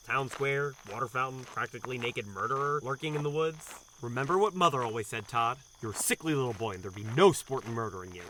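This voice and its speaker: A man's voice: medium pitch, background noise, lightly acted. A man playing a nervous, awkward, self-deprecating doctor in an audio drama, hesitant and rambling. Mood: nervous muttering.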